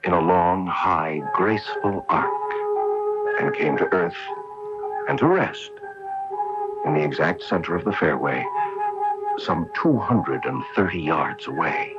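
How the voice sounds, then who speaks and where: An actor playing an earnest, wistful professional golfer in a radio mystery drama, with a husky voice. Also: male voice, sits low, animated, unhurried, pauses often, over loud music. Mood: wistful storytelling. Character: wistful, earnest male professional golfer recalling his wife. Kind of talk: radio mystery drama acting, narration and dialogue. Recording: dull lo-fi AM radio broadcast.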